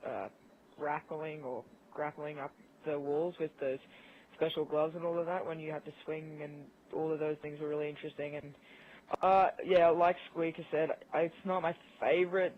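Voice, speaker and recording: A man chatting casually as a guest in a gaming discussion. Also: a little high. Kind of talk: casual chat. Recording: tinny phone-like voice chat, faint noise.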